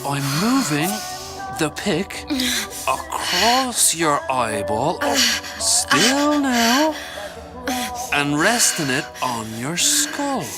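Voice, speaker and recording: Irish gangster boss, sadistic game villain, swoopy highish voice, loud music under it. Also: male voice, sing-song, exaggerated voice. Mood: sadistic taunting. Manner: taunting, theatrical, drawn-out phrasing. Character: menacing male crime boss, mockingly affectionate, sadistic. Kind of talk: video game cutscene acting. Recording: music behind the voice.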